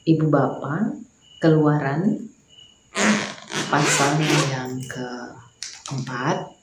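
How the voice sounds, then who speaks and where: Slow, low-voiced woman pastor with a Makassar accent. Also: roomy sound, heavy background noise. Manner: slow, prayerful, rising to emphatic preaching. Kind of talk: morning devotional sermon.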